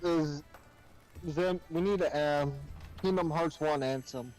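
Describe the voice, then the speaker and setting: A man joins a game stream as a guest. His voice sits a little high and he chats casually. Faint music runs behind him. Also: slow pace, darkish tone, low-quality recording. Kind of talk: casual chat.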